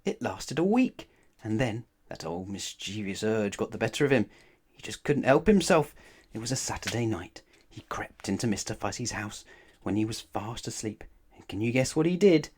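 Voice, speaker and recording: A man's voice: lowish voice, sing-song, normal speed, darkish tone, a little hiss or hum. A man reading a children's story aloud as narrator, expressively and with dramatic emphasis. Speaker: storybook reader.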